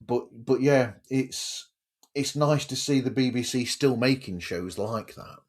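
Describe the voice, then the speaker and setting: A man's voice: mid-range voice, a little slow. A man hosting a podcast interview in a casual, conversational style.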